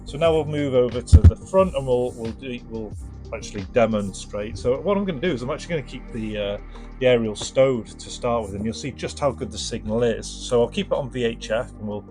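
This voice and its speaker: A man's voice: mid-range voice, brisk, over music. A man giving a casual product demo and review, explaining step by step in a hesitant, unscripted way. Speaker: presenter of a vintage radio restoration channel. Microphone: microphone set up for the demo.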